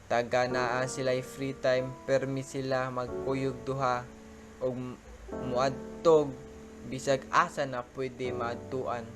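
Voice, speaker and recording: A young man narrating a student radio drama in a plain, steady reading voice. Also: mid-range voice, takes it slowly. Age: young adult. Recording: music playing behind. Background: music.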